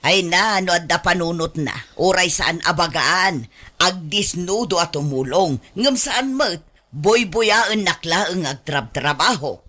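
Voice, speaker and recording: Middle-aged mother-in-law, Ilocano accent, slightly low voice, emphatic, complaining, doting, radio drama. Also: expressive, measured pace, sharp tone, exaggerated voice. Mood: exasperated complaining. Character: exasperated, doting older mother-in-law who does all chores.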